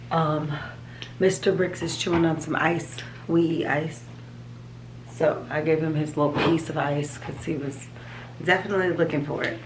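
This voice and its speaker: A woman with a raspy, allergy-hoarse voice, chatting casually to her viewers at home, rambling as she shows her planner. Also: low voice, reverberant, background noise. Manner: rambling, chatty, talks to viewers as "you guys". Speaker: vlogger. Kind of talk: casual chat. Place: home, at a desk.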